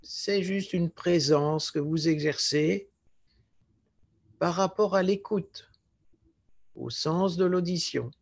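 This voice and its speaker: A man with a slightly high-pitched voice leads a guided relaxation. His delivery is very slow and calm, with short, measured phrases set apart by pauses, as a yoga nidra teacher would give them. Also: vocal fry, husky. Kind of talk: guided relaxation meditation instruction.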